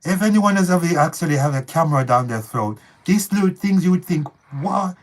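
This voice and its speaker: Hoarse man with a damaged voice. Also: on the high side, fairly quick. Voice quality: changed, hoarse voice from one working vocal cord. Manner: relaxed storytelling, addresses viewers as guys. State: talking hurts. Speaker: co-host of family channel. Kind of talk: casual live chat.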